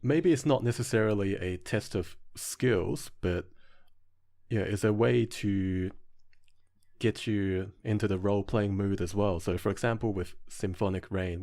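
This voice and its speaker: A man with a fairly low voice speaks as a guest on a podcast. His talk is casual and reflective, in the style of a game developer discussing the craft. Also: darkish tone.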